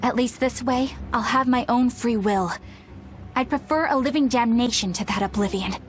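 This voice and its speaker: Earnest high-voiced young woman exorcist, played by an actress. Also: normal speed, faint music behind, close to an everyday voice. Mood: solemn, determined. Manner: earnest, resolute, formal phrasing. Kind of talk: dramatic English game voice acting.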